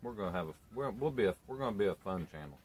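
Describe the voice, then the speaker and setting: A man's voice: fairly low pitch, animated, rapid-fire, darkish tone, slightly rough. Laid-back bearded country man outdoors. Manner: laid-back, rambling, plain country talk. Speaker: homesteading vlogger. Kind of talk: casual chat. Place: outdoors on a sunny porch. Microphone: camera on a tripod a few feet away.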